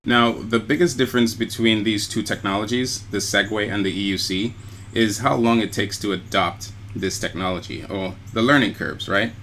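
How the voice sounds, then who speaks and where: An outdoor recording with very loud city noise carries a man's voice at a middling pitch. He is vlogging, explaining things casually and conversationally. He keeps it easygoing and checks in with whoever is listening. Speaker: vlogger and electric unicycle rider.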